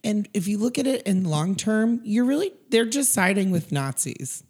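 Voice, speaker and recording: A woman from Tennessee co-hosting a casual podcast, raspy from being sick, blunt and swearing freely with frequent laughs. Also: medium pitch, expressive, moderate pace, a little mellow. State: raspy from a recent illness. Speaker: podcast co-host. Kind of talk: casual chat.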